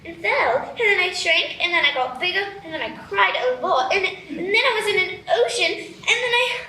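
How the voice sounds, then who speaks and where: A woman's voice: very high-pitched, expressive, normal speed, bright, forceful tone, full character voice. A woman voicing a curious, spirited young girl in a live radio play. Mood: excited. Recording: echoey stage, distant mic, noisy.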